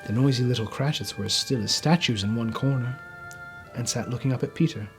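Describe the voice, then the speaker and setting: A man's voice: mid-range voice, a little fast, faint music behind. A man narrating a classic story as a storyteller, reading expressively from a script. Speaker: storyteller, reader.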